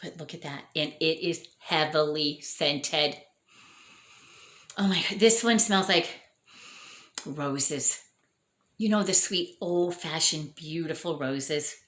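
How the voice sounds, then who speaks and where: A woman's voice: deep voice, slow, pauses often, a bit bright. A woman showing off her orchids in a casual vlog, chatty and enthusiastic and often correcting herself, with her voice starting to go. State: losing her voice. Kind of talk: casual show-and-tell vlog. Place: dining room at home. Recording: echoey indoor room.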